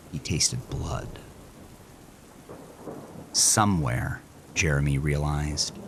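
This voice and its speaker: Man narrating horror, deep low voice, dramatic hush, rain and thunder sounds behind. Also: slight background noise. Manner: intense, dramatic, drops to a hush in grim moments.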